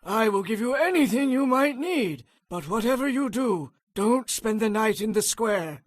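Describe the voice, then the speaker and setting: A man's voice: sits high, lively intonation, moderate pace, no echo, clean studio sound. An actor playing a hospitable old man in a dramatized audio Bible, urging and pleading in a thin voice. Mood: warm urging.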